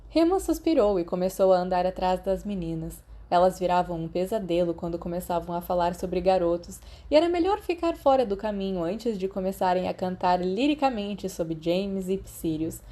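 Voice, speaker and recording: A woman's voice: fairly low pitch, dark tone. A woman with a Brazilian accent reading a story aloud as scripted audiobook narration. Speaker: podfic narrator.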